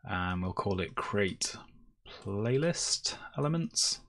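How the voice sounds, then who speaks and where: A man with a fairly low voice presents a coding screencast. He explains each step as he goes, in an unscripted instructional style. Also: lively intonation, takes it slowly, vocal fry, fairly bright tone. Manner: step-by-step, explaining while typing. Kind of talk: explanatory screencast tutorial, semi-improvised.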